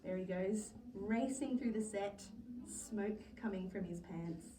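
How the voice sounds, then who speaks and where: A woman reading a children's story aloud as a teacher, in an animated narrating style. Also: mid-range voice, slow pace. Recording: roomy, distant mic, faint noise.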